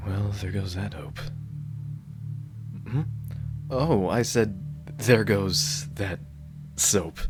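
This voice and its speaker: A man performs a lovestruck male bodyguard in an ASMR roleplay with a deep voice and a slow, halting delivery. He comes across as dorky, earnest and awkwardly devoted. Music plays clearly underneath. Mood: flustered.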